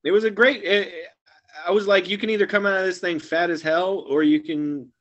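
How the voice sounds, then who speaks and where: A man in his forties, a guest actor and comedian, chatting casually over a webcam call from a small room at home, rambling and trailing off quietly at the ends of phrases. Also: high voice, steady tone, fairly quick, lo-fi sound. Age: 40s. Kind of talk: casual video-call chat. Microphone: webcam video call.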